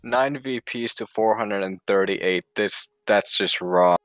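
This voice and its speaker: A man's voice: lowish voice, slow pace, fairly bright tone, smooth, no echo. A man co-commentating a strategy game match in a chatty way, wandering into rambling asides. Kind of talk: casual co-commentary.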